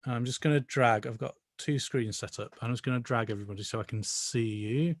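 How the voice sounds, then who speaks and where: A man's voice: medium pitch, a little fast, no echo. A man leading an online choir rehearsal over a video call, chatty and hesitant, with many fillers. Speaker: choir leader.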